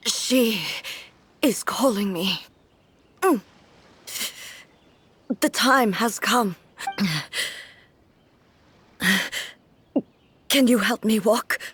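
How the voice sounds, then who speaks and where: Solemn immortal warrior ruler, slow and stately. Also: female voice, on the high side, fairly bright tone, husky, exaggerated voice. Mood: strained, weakened. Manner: formal, measured, stately. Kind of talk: scripted video game voice acting. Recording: music behind the voice.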